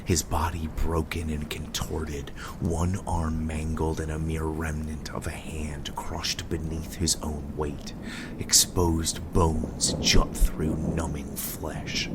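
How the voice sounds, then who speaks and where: A man's voice: very deep voice, heavy background noise. A man narrating scripted gothic horror with grave, ornate storytelling. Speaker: narrator, game master.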